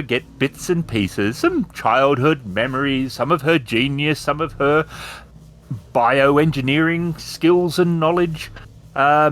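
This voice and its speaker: Guy doing a smug evil robot who hates humans, taunting, super animated up and down. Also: fairly high pitch, a little hiss or hum, exaggerated voice. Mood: smug explaining. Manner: theatrical, taunting. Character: villainous robot with a copied mind, smug, misanthropic.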